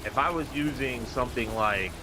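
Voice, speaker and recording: A man's voice: mid-range voice, normal speed, very noisy. A young man casually commenting over his gameplay, slangy and loose, talking half to himself. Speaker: gaming streamer. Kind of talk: casual let's-play commentary.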